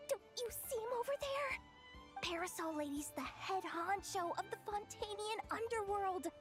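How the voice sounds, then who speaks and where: Super high, jittery gossipy game girl, dramatic and swoopy, music behind her. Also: moderate pace. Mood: nervous gossip. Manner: excitable, conspiratorial, dramatic. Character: anxious, gossipy young female expo manager. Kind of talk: video game voice acting.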